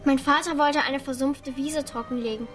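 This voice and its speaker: A woman's voice: high voice, fairly even intonation, moderate pace, fairly bright tone. An actress in a children's radio play voicing a cautious, protective orphan girl who speaks plainly and earnestly. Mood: earnest, sad. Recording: studio radio play with faint music.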